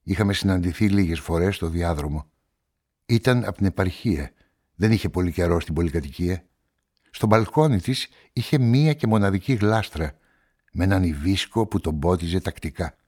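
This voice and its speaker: Low-pitched male investigating journalist; measured narration and probing questions for radio crime drama. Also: expressive, darkish tone, husky. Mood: measured, reflective. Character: inquisitive male journalist investigating a murder, narrating his story. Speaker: narrator and lead actor.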